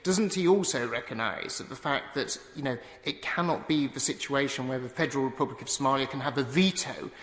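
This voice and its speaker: A man's voice: mid-range voice, brisk, hardly any pauses, fairly bright tone. Man pressing a point in a hall. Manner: fluent but padded with fillers, pressing a point. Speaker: member of parliament intervening. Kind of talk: parliamentary intervention. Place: parliamentary debating chamber. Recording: echoey chamber broadcast feed.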